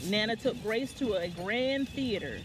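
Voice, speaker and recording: A woman's voice: on the high side, animated, measured pace, noisy background. A woman reading a children's picture book aloud as a story time reader, now and then stumbling and correcting herself.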